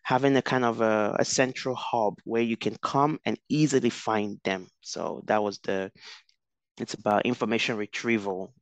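A man's voice: on the low side, steady tone, moderate pace, dry recording. A young man from Nigeria, a researcher interviewed over a video call, speaking thoughtfully with many restarts and fillers. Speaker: guest researcher. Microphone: headset.

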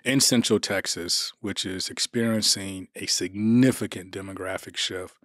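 A middle-aged man hosting a podcast interview, asking questions and murmuring agreement into a studio boom mic. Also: steady tone, moderate pace, a bit bright, dry recording. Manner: affirming, frequent murmured agreement. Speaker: podcast host, district official.

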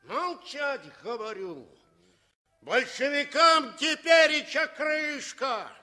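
An actor playing a bullying, servile estate steward, barking orders in a 1960s Soviet radio play. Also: male voice, extremely high pitch, lively intonation, slow, bright, forceful tone, faint music behind, heavily acted. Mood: bullying, threatening. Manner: barking, haranguing, repetitive orders. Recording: reverberant hall with crowd murmur.

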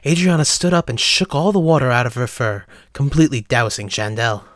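A male narrator reads a scripted story for an audio drama. His voice sits at a middle pitch and is recorded close and dry. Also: expressive, a little fast, dry recording.

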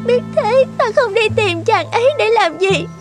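A lovesick young maiden from a cartoon fairy tale. Her voice is extremely high and tender, full of tearful lament, with music playing clearly behind it. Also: lively intonation, normal speed. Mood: anguished, betrayed.

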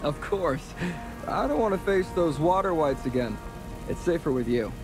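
A man's voice: a little high, lively intonation, normal speed, music in the background, natural voice, poor audio. Cautious dockhand Sinbad, voiced by a man. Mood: friendly. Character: wary young hired hand at the docks.

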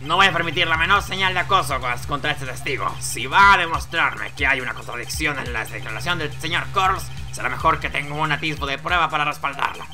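A man voicing a stern old judge in a courtroom video game, speaking in a measured, formal manner. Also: fairly high pitch, bright, forceful tone, faint music behind. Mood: solemn.

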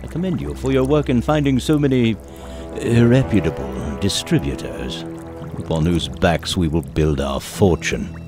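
Pompous, scheming businessman, lowish voice. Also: lively intonation, a little slow, music in the background. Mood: smug, scheming. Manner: formal, pompous period diction. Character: scheming, greedy 1830s English elixir-company boss, cold and calculating. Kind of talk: family audio drama acting.

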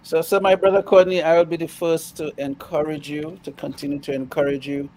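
A man's voice: on the high side, little pitch movement, brisk, darkish tone, low-quality recording. A man on a video call who speaks in an encouraging, affirming way, repeating blessings and words of support. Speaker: guest on a live stream. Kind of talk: casual chat on a video call.

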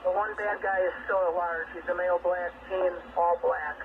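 High-pitched policeman on noisy radio. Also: steady tone, moderate pace, narrow, tinny audio. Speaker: police officer at the scene.

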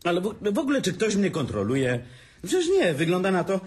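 A man's voice: high voice, huge pitch swings, fairly quick, a little hiss or hum. An actor playing a boastful, self-pitying businessman who drinks and mocks everyone at a family dinner in a radio drama. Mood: tipsy. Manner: sarcastic, theatrical, drinking.

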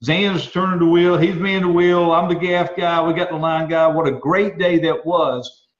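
A man co-hosting a casual chat, with a slightly high voice. He rambles through his stories with animated restarts. The recording is roomy and dull, with a lo-fi edge. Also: moderate pace. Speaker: co-host.

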